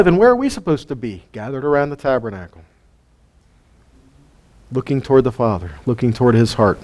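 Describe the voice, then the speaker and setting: Male Bible teacher, a bit high-pitched, lively and chatty, heavy on rhetorical questions. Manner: animated, asks rhetorical questions, jokes with listeners. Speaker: teacher of a weekly Torah portion.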